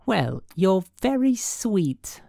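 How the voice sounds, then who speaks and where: A woman's voice: medium pitch, very animated, very slow, creaky, warm tone, no room sound, clean studio sound, voice pushed up, heavily acted. A woman voicing a brassy working gal in an audiobook, slangy and suspicious, with affectedly genteel airs. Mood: flirty, gracious.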